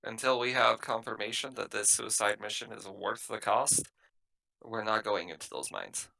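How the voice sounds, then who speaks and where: A man's voice: lowish voice, moderate pace, creaky, sharp tone, full character voice, rough recording. A man playing a skeptical, blunt spaceship captain in a tabletop sci-fi game, asking pointed questions. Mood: blunt, challenging. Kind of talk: improvised tabletop roleplay dialogue.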